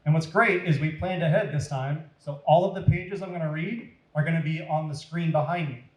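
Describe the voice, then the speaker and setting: A man reading a story aloud to children in a deliberate, dramatic, expressive way. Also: a little high. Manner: deliberate, dramatic, addressing young listeners. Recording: roomy echo.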